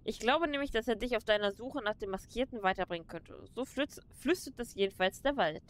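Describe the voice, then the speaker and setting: A woman reading a solemn game character's quest dialogue aloud in a measured tone. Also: fairly high pitch, expressive, lightly acted. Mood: earnest.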